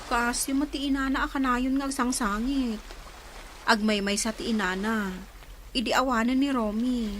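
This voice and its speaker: Highish frank young Filipino woman, played by an actress. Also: steady tone, moderate pace, long pauses, slight background noise, natural voice. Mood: concerned. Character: concerned, frank young woman, a loyal friend. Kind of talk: Ilocano radio drama acting.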